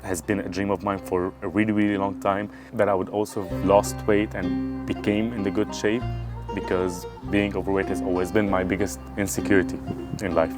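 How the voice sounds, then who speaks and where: A man's voice: sits low, level tone, fairly quick. A thirty-year-old man from Syria, talking earnestly and thoughtfully about his life in a personal vlog, recorded outdoors. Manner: earnest, thoughtful, self-searching. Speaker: vlogger. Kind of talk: reflective personal monologue. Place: outdoors in a small town. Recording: guitar music playing underneath.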